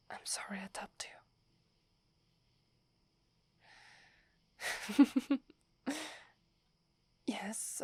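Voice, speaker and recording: A woman with an Italian accent playing a shy, devoted young werewolf in a breathy, hesitant ASMR whisper. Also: fairly high pitch, heavily acted. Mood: tender, devoted. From Italy. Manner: hesitant, halting, deferential. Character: devoted, shy young female werewolf serving her alpha.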